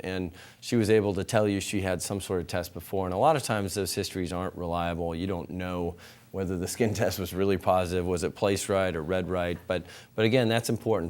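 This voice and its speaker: Low-voiced male specialist, steady explanations. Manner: explanatory, repeats words. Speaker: panel expert. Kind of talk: expert answer on a panel.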